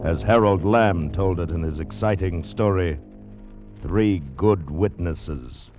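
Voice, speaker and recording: A very deep-voiced man works as a radio announcer. His delivery is dramatic and portentous in old-time style. The recording is muffled, with music clearly behind him. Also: slow.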